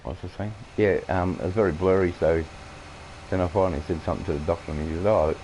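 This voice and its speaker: A man with an Australian accent, croaky from coughing, rambling through farming-game commentary with many halting fillers. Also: low-pitched, steady tone, a little mellow, a little hiss or hum, rough recording. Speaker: gameplay streamer.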